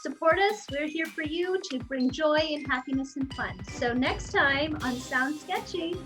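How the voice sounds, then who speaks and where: A woman's voice: high voice, a little slow, music in the background. A woman show host giving a casual sign-off announcement.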